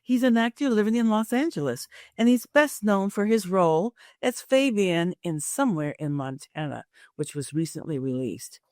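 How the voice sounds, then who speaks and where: A woman's voice: mid-range voice, sing-song, moderate pace, darkish tone, dry recording, clean studio sound. A woman hosting a career podcast, moving between a prepared introduction and friendly interview chat. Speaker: podcast host and career coach.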